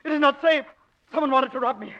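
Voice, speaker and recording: A woman's voice: high voice, quick pace, natural voice. A woman playing a suspicious, wealthy older mother in a 1940s radio drama, with a melodramatic manner. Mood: paranoid, hysterical. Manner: melodramatic, fretful. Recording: muffled 1940s radio recording.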